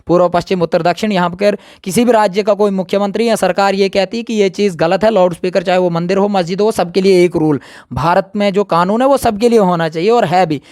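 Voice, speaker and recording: A man's voice: high-pitched, fairly quick, hardly any pauses, a little mellow, no echo, clean studio sound. A man giving his opinion in an impromptu interview, speaking emphatically and repeating his points. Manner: emphatic, repetitive.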